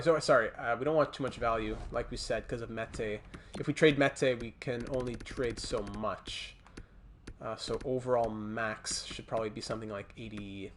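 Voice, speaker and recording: Man, Montreal accent, medium pitch, casual livestream commentary, halting thinking-aloud delivery. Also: a little hiss or hum. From Montreal, Canada. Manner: thinking aloud, halting, breaking off to reply to chat. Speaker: livestreamer hosting a hockey video game stream.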